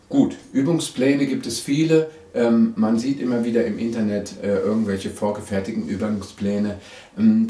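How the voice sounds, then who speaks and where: A middle-aged bass teacher with a low, cold-roughened hoarse voice talks straight to camera. He keeps a chatty, explaining tone, peppered with "ja?" and "ähm". The studio adds a noticeable roomy echo. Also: dark tone. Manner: chatty, frequent "ja?" and "ähm" fillers. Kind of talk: video lesson, direct to camera.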